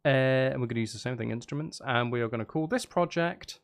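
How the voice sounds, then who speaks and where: A man's voice: mid-range voice, fast, creaky. A man chatting casually on a live stream, rambling and thinking aloud in a hesitant way. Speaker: live streamer composing game music.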